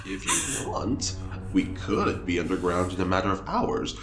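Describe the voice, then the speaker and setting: An actor playing an oddly calm, eager guide in a spaceship audio drama, with a measured, teasing drawl. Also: low voice. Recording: echoey room, music behind.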